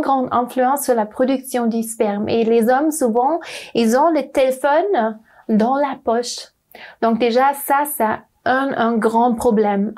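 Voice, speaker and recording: A woman's voice: a little high, dark tone. A young woman doctor speaking as an interview guest in a language that is not her first, hesitant and often searching for words. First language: not French. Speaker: doctor at a clinic.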